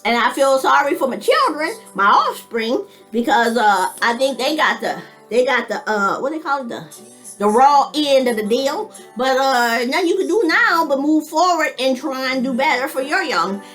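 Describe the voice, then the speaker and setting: A woman in her late fifties talks straight to her camera in a loose, personal vlog. Her voice sits a little high, and she rambles in folksy, informal speech, restarting sentences and chuckling between thoughts. Faint music plays under her talk. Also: sing-song, moderate pace, a bit bright, poor audio. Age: 50s. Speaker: vlogger. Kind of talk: casual personal monologue.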